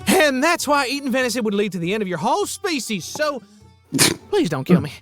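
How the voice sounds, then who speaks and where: A woman's voice: fairly high pitch, sing-song, brisk, soft background music. A woman voicing a nervous, wisecracking young male deer, rambling in a folksy way in an audio story. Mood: nervous joking. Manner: folksy, awkward, jokey rambling. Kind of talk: acted dialogue in an audio story.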